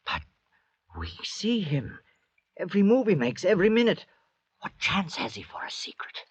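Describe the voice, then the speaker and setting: A man's voice: sits high, wildly swooping pitch, moderate pace. A man playing an anxious, pleading, bewildered castaway in a 1940s radio drama. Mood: agitated. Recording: dull, noisy 1940s radio recording.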